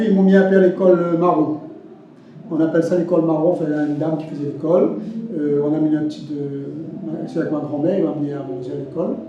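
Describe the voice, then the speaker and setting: A man in his seventies from Réunion with a Réunionese French accent, telling his life story haltingly, with repeats and restarts. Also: on the high side, dark tone, slight background noise. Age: 70s. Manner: halting, repeats words, many restarts and fillers. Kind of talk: spoken testimony. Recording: distant mic, roomy, dull and lo-fi.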